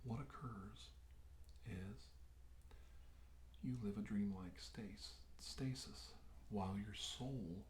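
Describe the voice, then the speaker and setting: A man playing an unhurried doctor in an ASMR roleplay, speaking softly in deliberate, halting phrases that often drop into a whisper. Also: on the low side. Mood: calm, grave. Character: unhurried doctor examining an abductee, explaining alien plans. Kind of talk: ASMR roleplay, soft-spoken monologue. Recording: roomy echo with background noise.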